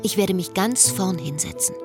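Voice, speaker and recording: An actress playing a wistful, guarded middle-aged woman who confides in measured, lyrical lines of a radio drama. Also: mid-range voice, close to an everyday voice. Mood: wistful, reflective. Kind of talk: literary radio drama, first-person monologue and dialogue. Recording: music behind the voice. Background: music.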